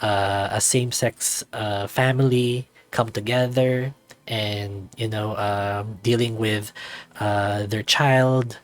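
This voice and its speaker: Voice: mid-range voice, steady tone, takes it slowly. A video host narrating a visual novel aloud expressively and addressing viewers casually.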